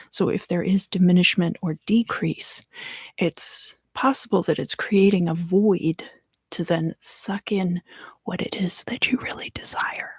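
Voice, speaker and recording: A woman giving an ASMR tarot reading in a hushed, measured delivery, drifting in and out of a whisper. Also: on the low side, breathy edge, no room sound. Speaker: tarot reader and ASMR host.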